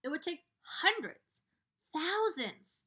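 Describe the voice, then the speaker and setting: A woman's voice: extremely high pitch, very animated, slow pace, sharp tone, pitched above the performer's own voice, exaggerated voice. A woman voicing a kindly old male grasshopper in a read-aloud storybook, speaking patiently. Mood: reasoning.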